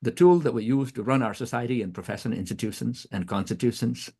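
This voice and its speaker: A man's voice: medium pitch, fast talker, mellow, breathy edge. A middle-aged man whose first language is Nepali, giving an earnest academic talk, often restarting words, with a throat irritated by allergy. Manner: earnest, questioning, frequent restarts. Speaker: guest speaker, professor.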